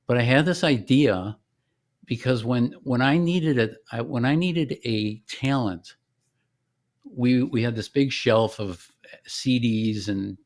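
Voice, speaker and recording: A man's voice: medium pitch, takes it slowly. A middle-aged man, a company founder, chatting casually as an interview guest and explaining things in a plain-spoken, informal way.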